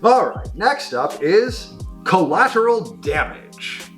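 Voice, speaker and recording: A man's voice: high-pitched, big pitch swings, slow, faint music behind. A middle-aged man giving a casual, enthusiastic and discursive review of new tabletop games as a store presenter. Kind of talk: casual product review talk to camera.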